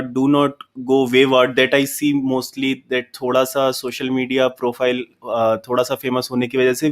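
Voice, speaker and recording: Man with Indian English accent, judge on an online poetry show, halting casual speech over a video call. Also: medium pitch. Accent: Indian English, Hindi-influenced. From Chambal region, India. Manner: hesitant chat with fillers and restarts. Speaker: judge and poet. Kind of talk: live online poetry event.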